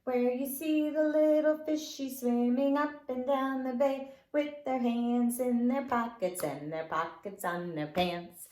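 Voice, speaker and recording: Woman, nursery teacher, high lilting voice, unhurried, playful and encouraging, rhymes and finger plays for small children, roomy echo. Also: darkish tone. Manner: sing-song, playful, encouraging. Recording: roomy, echoing indoor sound.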